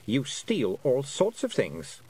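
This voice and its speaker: A stubborn young girl, as a male narrator puts her on in a storybook. The voice is raised in pitch and lively, with blunt, insistent, accusing phrasing that refuses to back down. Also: slow, slightly rough, full character voice. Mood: defiant. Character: stubborn, defiant young girl witch Tiffany. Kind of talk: audiobook character voice.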